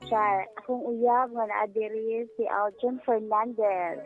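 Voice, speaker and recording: Female caller, Visayan Filipino accent, casual chat. Slightly high voice, muffled line over a clear music bed. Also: fairly even intonation, slow pace. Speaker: radio show caller.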